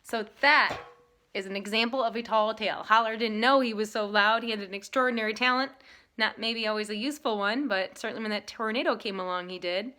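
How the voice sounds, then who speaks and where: A woman reading aloud to children in an expressive style, leaning dramatically on key words. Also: a little high, fairly quick. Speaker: storybook reader.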